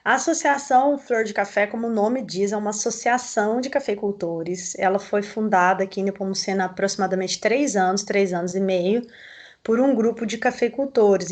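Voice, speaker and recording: A woman with a Mineiro Brazilian accent gives steady, explanatory answers in an interview, at an everyday mid pitch. Her delivery is measured and she often checks in with tag questions. She is heard through a video call. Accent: Mineiro Brazilian Portuguese. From southern Minas Gerais, Brazil. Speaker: coffee growers' association representative.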